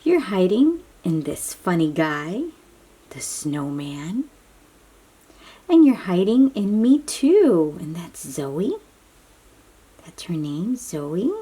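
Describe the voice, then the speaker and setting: A woman with a soft, breathy voice reads a picture book aloud to young children, gently explaining and chatting to them as she goes. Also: fairly low pitch, big pitch swings. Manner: slow, child-directed, encouraging questions and affirmations. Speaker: read-aloud storybook host.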